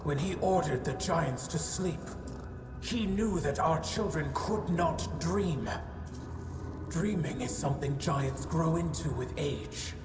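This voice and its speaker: An actress playing an ancient giantess in a fantasy game, solemn, grieving and fiercely maternal, speaking gravely and formally. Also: female voice, deep voice, takes it slowly. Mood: mournful. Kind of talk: dramatic fantasy game dialogue. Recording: echoey voice over loud music.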